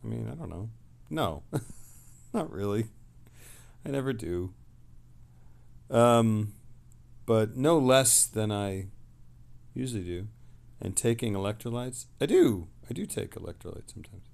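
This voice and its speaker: A man casually hosting a livestream, reading messages aloud and replying in a rambling, halting way that trails off. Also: on the low side, animated, creaky, darkish tone.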